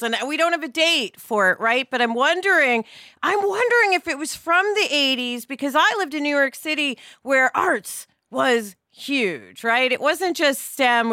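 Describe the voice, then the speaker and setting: A woman's voice: high-pitched, pushed and bright, no echo, studio quality. A woman co-hosting a podcast in casual, personal discussion, speaking with emphatic enthusiasm. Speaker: podcast co-host.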